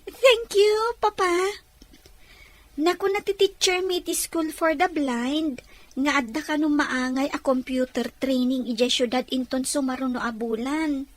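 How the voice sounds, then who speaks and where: Woman acting as an eager schoolchild, high-pitched voice, polite, audio-drama dialogue. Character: eager, polite blind schoolchild talking to Papa. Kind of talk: Filipino radio-drama dialogue in Ilocano.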